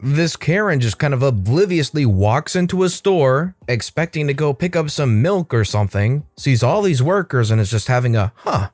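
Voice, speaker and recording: Lively wry male story narrator. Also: mid-range voice, no echo. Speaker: narrator of reddit stories. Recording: dry close studio sound, faint music.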